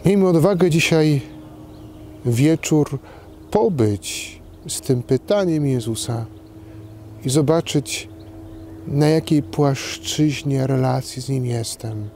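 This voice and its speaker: A man in his forties, a priest, delivering a short homily in a measured, deliberate way with pauses. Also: mid-range voice, dark tone. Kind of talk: short scripted homily to camera. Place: outdoors in a park. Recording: outdoor background noise.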